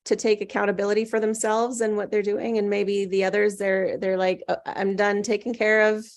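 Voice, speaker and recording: A woman with a mid-pitched, creaky voice chats in a casual group discussion. She sounds tentative, musing aloud and circling her point as she goes. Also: level tone, moderate pace.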